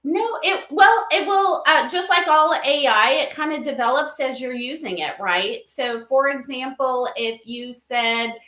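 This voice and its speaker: A woman's voice: sits high, a little slow, few pauses, sharp tone. A woman guest on a livestream interview, explaining things informally and often checking in with "right?" and "you know". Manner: informal, filler-heavy, seeks agreement with "right?" and "you know". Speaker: interview guest, company advisor. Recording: roomy, echoey livestream audio.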